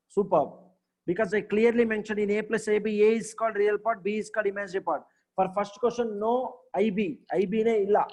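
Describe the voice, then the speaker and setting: A man's voice: high voice, a little mellow. A man, teaching maths in a live online class. He repeats himself, checks on his students often and praises their answers.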